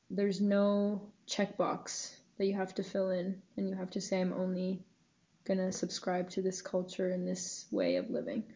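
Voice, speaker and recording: A woman's voice: mid-range voice, dark tone, highs cut off. A young woman speaking as a podcast guest, thoughtful and earnest, with hesitant fillers. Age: young adult. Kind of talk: casual podcast interview.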